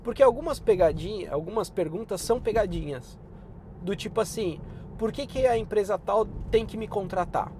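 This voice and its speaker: A Brazilian man with a Brazilian Portuguese accent giving job-hunting tips in a relaxed, chatty advice vlog. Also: high voice, normal speed, slight background noise. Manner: relaxed and chatty, often drops in "cara" and "né".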